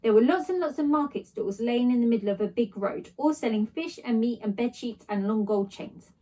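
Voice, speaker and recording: Woman, fairly high voice, expressive storybook narration, child's first-person telling. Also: fairly quick, low-quality recording. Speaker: story reader, teacher.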